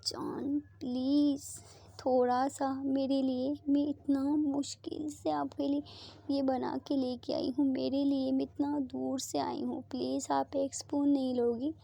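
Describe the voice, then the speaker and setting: A woman voicing a sweet, affectionate young woman who softly pleads with her partner. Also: high-pitched, steady tone, normal speed. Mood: pleading.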